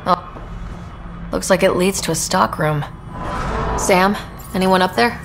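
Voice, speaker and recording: A woman's voice: lowish voice, a little slow, gravelly. An actress playing a determined young female space explorer in a video game, direct and wry. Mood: curious. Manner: direct, dry. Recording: reverberant rooms, music behind. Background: game soundtrack music.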